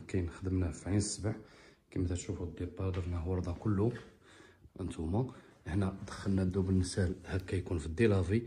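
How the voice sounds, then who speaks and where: A man with a Moroccan Arabic accent talks fast as he walks his phone through a large tiled living room. His voice sits low and mellow, with a faint hiss behind it. He pitches his work like a salesman and keeps asking viewers if they see. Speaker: upholstery shop owner showing his work. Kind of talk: walkthrough product showcase. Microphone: phone camera held while walking.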